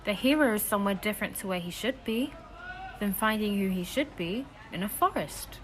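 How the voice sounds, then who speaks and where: A woman's voice: mid-range voice, expressive, fairly quick, a bit bright, some chatter behind, slight background noise, natural voice, rough recording. Woman playing a knowing, insistent young schemer. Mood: probing. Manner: probing, insistent. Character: knowing, secretly scheming young woman, guide in fairytale world. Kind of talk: audio drama acting.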